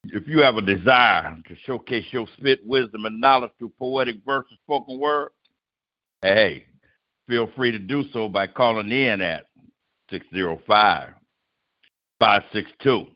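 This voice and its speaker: A man's voice: on the low side, unhurried, pauses often, no echo, highs cut off. A man hosting an open mic poetry show, speaking in a rhythmic, declamatory MC style. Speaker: host.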